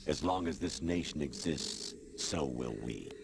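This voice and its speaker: A man voicing a formless artificial intelligence in a video game, lecturing in a measured, authoritative, matter-of-fact way. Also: rumbling low, lively intonation, creaky, noisy background, exaggerated voice. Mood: cold, lecturing. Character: formless male artificial intelligence posing as colonel, coldly lecturing. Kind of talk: video game cutscene acting. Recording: loud music behind game dialogue. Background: loud music.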